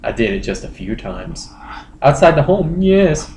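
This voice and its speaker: A man's voice: fairly high pitch, sing-song, a little slow, darkish tone. A man hosting a comedy podcast, casually reading internet posts aloud with wry, crude asides. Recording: roomy echo, faint noise.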